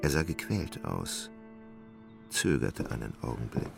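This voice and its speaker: Extrem tiefer, knarrender Erzähler. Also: male voice, a bass voice, sing-song, a little slow, gravelly, music in the background. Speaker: narrator. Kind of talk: scripted literary narration for a radio play.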